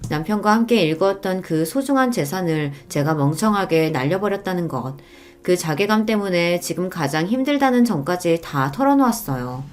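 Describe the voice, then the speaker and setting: Female narrator for confessional radio stories; polite, plaintive read over soft music. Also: lowish voice. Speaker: story narrator. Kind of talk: scripted first-person radio-story narration.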